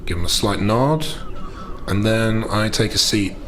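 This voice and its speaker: A man playing a tabletop role-playing game, casually improvising and thinking aloud in a halting way. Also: fairly low pitch, a bit bright, slight background noise.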